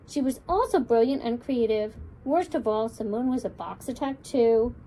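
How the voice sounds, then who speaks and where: A woman children's librarian reading a picture book aloud with expressive, lilting storytelling. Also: sits high, rough recording.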